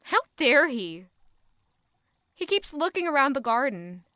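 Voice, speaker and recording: A woman's voice: high-pitched, very animated, a little slow, sharp tone, no room sound, studio quality, full character voice. An actress playing an anguished, proud young court lady in an audio drama, brooding intimately in a dramatic first-person monologue. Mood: angry outburst. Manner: intimate, brooding, emotionally intense.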